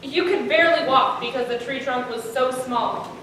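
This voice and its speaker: A woman's voice: sits high, moderate pace, fairly bright tone. A teenage girl narrating a comic stage story as a student performer, with a theatrical, projected delivery. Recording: echoey room, distant mic, faint noise.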